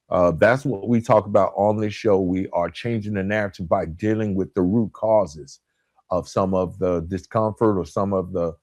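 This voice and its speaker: Male podcast host, mid-pitched voice, motivational coaching, emphatic, conversational, dry close sound. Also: darkish tone, dry recording. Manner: emphatic, deliberate, repeats key points for effect; colloquial, conversational asides. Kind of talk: motivational relationship coaching talk.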